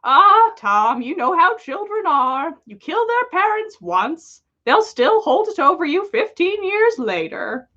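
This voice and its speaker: A woman's voice: very high-pitched, very animated, takes it slowly, few pauses, sharp tone, muffled recording, pitched above the performer's own voice, exaggerated voice. A woman voicing a weary old male wizard who is dry, civil and sarcastic, in a fan fiction story. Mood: dry sarcasm.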